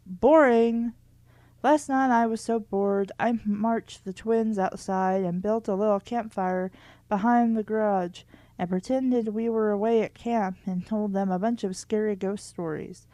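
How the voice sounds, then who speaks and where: A woman plays a bored twelve-year-old girl from a storybook, chatty and given to dramatic complaint. The pitch is middling and the sound is dry and close. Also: mellow, no room sound, natural voice. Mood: bored, sulky.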